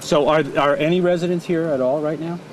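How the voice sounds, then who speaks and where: A man hosts a travel video, firing off friendly, curious questions in a slightly high voice. He records outdoors near a highway, with loud traffic noise behind him on a handheld camera mic. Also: steady tone, brisk. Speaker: video host and interviewer.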